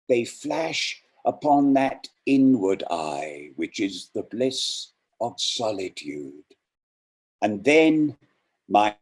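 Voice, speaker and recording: A man reading and introducing poems in an unhurried, deliberate, reflective way. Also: deep voice, husky. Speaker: poetry reader introducing and reciting poems. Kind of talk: poetry reading with spoken introductions.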